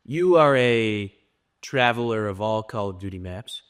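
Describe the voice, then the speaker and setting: A man's voice: lowish voice, lively intonation, unhurried, clean tone. A man co-hosting an interview in casual, slangy talk. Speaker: co-host.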